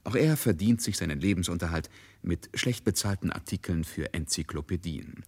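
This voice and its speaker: A man's voice: sits low, lively intonation, moderate pace, a little mellow. A man narrating a literary German radio drama.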